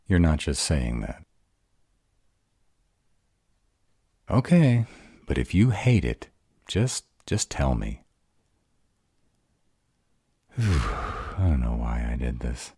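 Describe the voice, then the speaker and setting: A man's voice: extremely low pitch, warm tone, breathy edge, no echo, clean studio sound, heavily acted. A man playing a grumpy, insecure were-dragon in a romantic roleplay audio drama, hesitant and defensive as he courts his mate. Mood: anxious, tender. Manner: hesitant, defensive, self-doubting.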